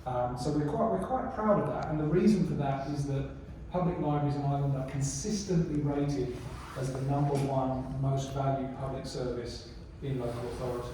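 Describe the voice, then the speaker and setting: A man giving a talk to a room of colleagues, loose and conversational with plenty of restarts. His voice is mellow and warm. It's picked up from a distance in a very echoey room with a faint hiss. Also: mid-range voice, moderate pace, hardly any pauses. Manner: relaxed, conversational, many restarts and fillers. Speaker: library strategy presenter. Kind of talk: conference presentation with audience questions. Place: conference room. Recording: echoey room, mic far off, faint noise.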